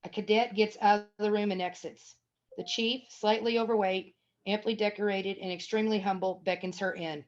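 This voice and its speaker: A woman's voice: mid-range voice, steady tone, normal speed. Woman narrating a script, dull lo-fi sound. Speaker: narrator reading stage directions. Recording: dull, lo-fi audio.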